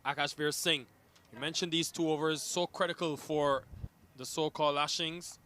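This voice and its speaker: Man, cricket commentator, bright forceful tone, slightly high voice, energetic play-by-play. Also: moderate pace.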